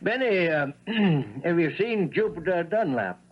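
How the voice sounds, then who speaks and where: An actor playing a folksy small-town Southern judge, sly and probing, in a drawling 1970s radio drama style. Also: male voice, a little high, big pitch swings, a little slow, slightly rough, highs cut off, full character voice.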